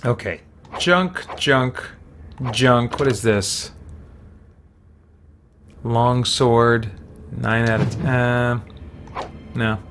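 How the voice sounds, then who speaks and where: A man's voice: medium pitch, drawn-out words, long pauses, a bit bright. Man streaming a game, noisy background. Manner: thinking aloud, muttering short remarks to himself. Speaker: game livestreamer. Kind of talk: casual gameplay commentary, chatting with viewers.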